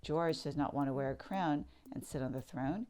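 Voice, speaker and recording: A woman reading a children's picture book aloud, narrating in an expressive sing-song way. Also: low voice, moderate pace, slight background noise. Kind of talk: read-aloud storybook narration.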